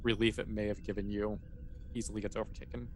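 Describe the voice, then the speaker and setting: A man's voice: on the low side, level tone. Informal male game master, noisy recording. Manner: informal, explanatory, halting with self-corrections. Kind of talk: improvised narration of a tabletop sci-fi game.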